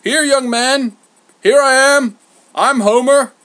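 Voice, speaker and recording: A man voicing a cranky old male poet in a storybook, with a blustering, gruff, grumbling way of talking. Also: mid-range voice, animated, normal speed, a bit bright. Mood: blustering, indignant.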